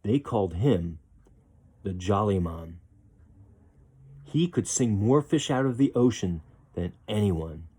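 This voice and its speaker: A man reading a children's picture book aloud as a storyteller, with expressive, deliberate phrasing. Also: lowish voice, husky.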